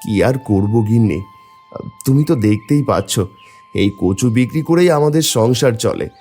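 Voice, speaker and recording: A man's voice: mid-range voice, lively intonation, normal speed, mellow, slightly rough, faint music behind. A man voicing a poor, wistful village taro seller and put-upon husband in a cartoon story, plaintive and pleading. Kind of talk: Bengali cartoon story voice acting.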